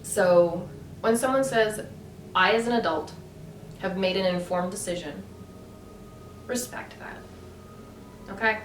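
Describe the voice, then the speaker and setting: A woman vlogger talking about her own choices in a casual, emphatic way, with many fillers and rising bursts of emphasis. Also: mid-range voice. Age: adult. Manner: animated, emphatic, lots of fillers. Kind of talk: casual opinion monologue. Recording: echoey room, mic at a distance, background noise.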